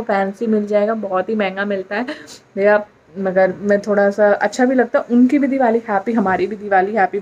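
A woman's voice: medium pitch, a little mellow, muffled recording. A woman from India chats casually to her viewers as a vlogger, mixing English words into her talk.

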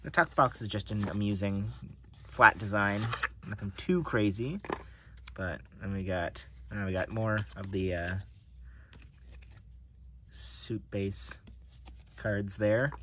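A man's voice: fairly low pitch, slow, pauses often, background noise. A man casually narrating an unboxing of playing cards, musing to himself as he handles them. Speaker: card collector reviewing decks. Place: indoors at a table.

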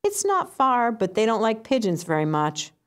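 A woman's voice: fairly high pitch, sing-song, normal speed, clear, resonant tone, pitched above the performer's own voice. A woman voicing a laid-back, friendly street pigeon who teases a little.

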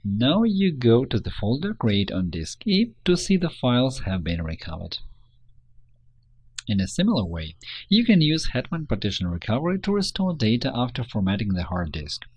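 Husky man narrating a tutorial. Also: mid-range voice, huge pitch swings, normal speed. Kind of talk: scripted instructional narration.

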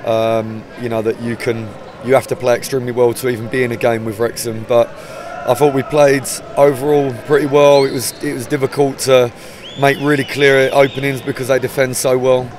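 A man in his forties, a football manager with an English accent, giving a measured, hesitant post-match interview outdoors by the pitch. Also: level tone, darkish tone, slight background noise. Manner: measured, hesitant, many restarts. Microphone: handheld mic held up close.

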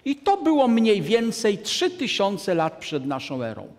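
A man in his sixties giving a deliberate, emphatic lecture to an audience from a lectern in a large hall. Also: high-pitched, huge pitch swings. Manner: deliberate, emphatic, gestures at a map. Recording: long hall reverb.

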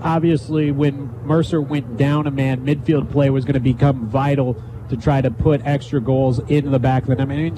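A man working as a sports broadcaster, asking wordy, conversational questions in a post-game interview on a headset mic. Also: mid-range voice. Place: press box overlooking a soccer field at night. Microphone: headset microphone. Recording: clear outdoor stadium background noise.

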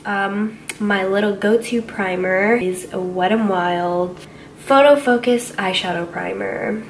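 A woman's voice: mid-range voice, recorded from a distance, slight background noise. A young woman sounding congested, chatting casually through a makeup tutorial with lots of ums and likes, in a small bedroom. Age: young adult. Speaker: beauty vlogger.

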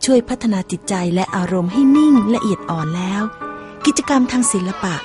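A woman reading scripted documentary narration in a measured, polished way. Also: medium pitch, animated, music in the background.

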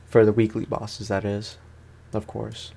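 A man's voice: lowish voice, completely flat delivery, a little slow, vocal fry, mellow, husky. A man giving casual let's play commentary on a video game, chatting to viewers in slangy language. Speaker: gaming YouTuber.

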